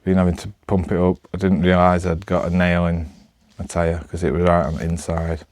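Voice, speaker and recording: A man's voice: deep voice, little pitch movement, a little fast, a little mellow, no echo. A man with a Northern English accent chatting casually to camera, rambling in a hesitant, self-deprecating way. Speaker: amateur vlogger.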